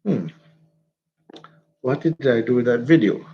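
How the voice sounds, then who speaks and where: A man's voice: mid-range voice, animated, measured pace, vocal fry. Stuffy-nosed man chatting. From Jamaica. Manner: rambling, chatty, laughs often while telling stories. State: stuffy nose. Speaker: vlogger. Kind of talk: casual livestream chat. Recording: dull lo-fi phone audio.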